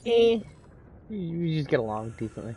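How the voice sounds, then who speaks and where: A man's voice: fairly high pitch, sing-song, normal speed, lo-fi sound, a little hiss or hum. A man streaming a game and chatting casually with friends, often stumbling and repeating his words. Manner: stammers and repeats words, lots of fillers. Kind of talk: casual live-stream chat with party friends.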